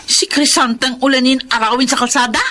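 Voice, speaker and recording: A woman's voice: high voice, steady tone, brisk, sharp tone, a little hiss or hum. A woman playing a feisty, hot-tempered aunt in a Filipino radio drama, with a scolding, blunt manner. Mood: angry.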